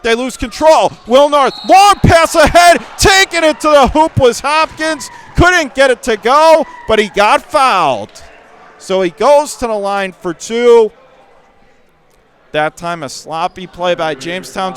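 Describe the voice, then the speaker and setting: A man with a slightly high voice gives radio play-by-play of a high school basketball game from courtside. His calls come in short clipped bursts that climb in pitch and break into shouts on steals and drives. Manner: rapid clipped calls that rise sharply and get shouted on big plays.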